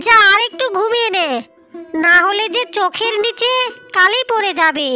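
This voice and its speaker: A scornful cartoon stepmother acted by a woman, high in pitch and bossy. She sneers and scolds like a fairy-tale bully, with music playing clearly behind her. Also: sharp tone, full character voice. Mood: doting.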